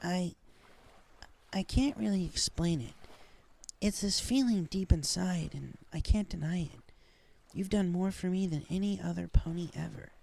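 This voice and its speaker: A man's voice: high-pitched, a little slow, creaky, dark tone, voice pushed up, heavily acted. A man voicing a flustered, lovestruck young female pegasus pony who speaks earnestly and hesitantly in a story reading. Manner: hesitant, stammering. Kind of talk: fan fiction story reading.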